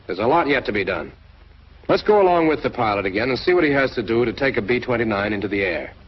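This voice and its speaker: A man's voice: medium pitch, animated, fast, husky. A man giving scripted instructional narration for a training film, speaking straight to the listener in a direct, instructive way. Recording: dull lo-fi 1940s film soundtrack.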